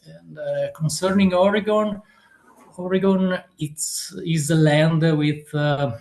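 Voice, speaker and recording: A man in his fifties with an Italian accent, an author answering interview questions haltingly and searching for words in a non-native language. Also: fairly high pitch, a little mellow, echoey room. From Italy. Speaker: author guest.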